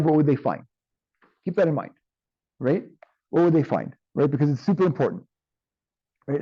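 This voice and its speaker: A man teaching an online class with a tired, strained voice, often checking in with "right?" and filling pauses with um. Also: a little high, dry recording, dull audio. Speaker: instructor.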